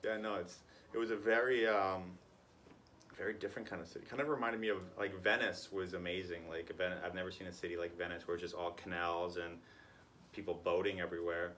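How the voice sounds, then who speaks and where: A man with a very low voice hosts a podcast in an easygoing, meandering way. He fills his talk with "like" and "kinda" and asks loose, friendly questions. Faint noise hums behind him. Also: fairly even intonation, a little fast, a bit bright. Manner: rambling, lots of "like" and "kinda". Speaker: podcast host. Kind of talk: casual interview chat.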